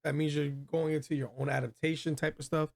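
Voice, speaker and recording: Slangy, higher-voiced male review host. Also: level tone, a little fast, gravelly, darkish tone. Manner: slangy, emphatic, opinionated. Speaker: host of a video game movie review show. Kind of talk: casual review chat between friends.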